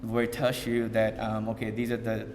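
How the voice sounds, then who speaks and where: A man from Bangladesh giving an informal talk to an audience, pausing often with fillers and repeated starts. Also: on the low side, monotone, darkish tone, slight background noise. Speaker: community speaker. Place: event hall. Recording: long hall reverb.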